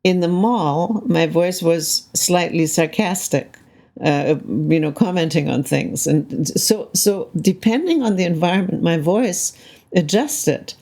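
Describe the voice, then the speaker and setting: A woman's voice: on the low side, a little slow, vocal fry, a little mellow. An older woman, a soundscape composer, being interviewed, speaking thoughtfully and often checking in with "right?". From Canada. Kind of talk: reflective conversational interview.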